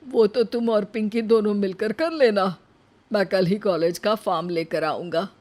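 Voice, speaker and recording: A woman's voice: mid-range voice, normal speed, a little mellow, close to an everyday voice. A woman voicing a caring elderly father-in-law in a moral story, fatherly and persuasive. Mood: encouraging. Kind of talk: animated moral-story acting.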